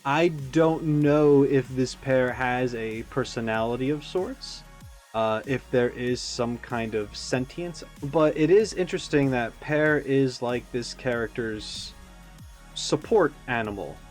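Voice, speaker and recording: A man's voice: medium pitch, slow pace, a little mellow, soft background music. A man giving casual, reflective reaction commentary, rambling as he thinks aloud with self-aware asides. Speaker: reaction video creator. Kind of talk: casual, reflective commentary on a game character video.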